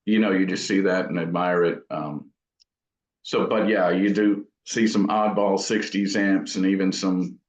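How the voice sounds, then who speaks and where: A man's voice: sits low, fairly even intonation, measured pace. A man being interviewed as an amp builder, talking casually in thoughtful, rambling explanations. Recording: dull, echoey room sound.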